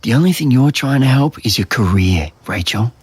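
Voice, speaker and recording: Casting: weary, sarcastic young Australian in audio drama, raspy, with animated pitch. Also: male voice, moderate pace, no echo. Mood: defensive, mocking. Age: young adult. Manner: dry, sarcastic, defensive. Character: tired, guarded, sarcastic young man, restaurateur's son. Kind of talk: naturalistic audio drama acting.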